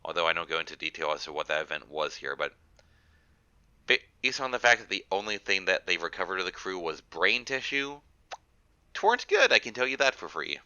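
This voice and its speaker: A man with a low-pitched, very bright and forceful voice, stuffed up by a cold. He speaks as a guest author, reading aloud and explaining casually. The audio is dry and somewhat lo-fi. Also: sing-song, a little fast, clear, resonant tone, no echo. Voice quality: congested, nasal. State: congested with a cold.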